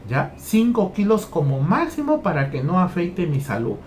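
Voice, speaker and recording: A man's voice: sits high, big pitch swings, moderate pace, darkish tone, a little hiss or hum. A man from Peru training teachers, walking through a lesson plan and repeating phrases as he goes. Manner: repeats phrases, keeps asking "¿ya?".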